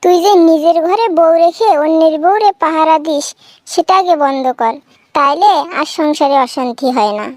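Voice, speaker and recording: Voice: high voice, normal speed, no echo, clean studio sound, natural voice. A performer voicing a fierce, sarcastic village wife in a comic cartoon, taunting sharply in a rural Bangladeshi Bengali accent. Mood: taunting, angry. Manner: taunting, sharp-tongued, threatening. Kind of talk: comic cartoon dialogue, exaggerated bickering.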